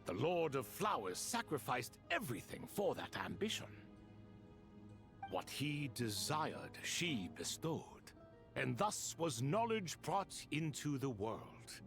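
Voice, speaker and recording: An actor playing a cryptic ancient servant of a desert king in a video game, with a grandiloquent, deliberate delivery. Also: medium pitch, creaky, a bit bright, exaggerated voice. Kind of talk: dramatic video game voice acting.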